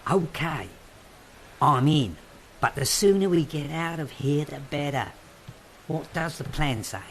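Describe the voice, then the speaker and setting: A man's voice: a little high, expressive, a little hiss or hum. An actor playing a loyal male friend who urgently warns a couple to flee, in an amateur radio drama. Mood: resolved. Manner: urgent, hurried warnings.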